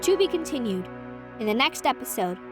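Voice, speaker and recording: A woman with a higher voice narrates an audio drama, reading in an even, storytelling manner. Faint music plays underneath her. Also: brisk.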